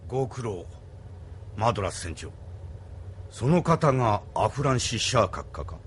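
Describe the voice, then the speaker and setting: An actor playing a stern older admiral who speaks formally and with authority in a Japanese anime audio drama. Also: male voice, medium pitch, big pitch swings, a little slow, a little hiss or hum.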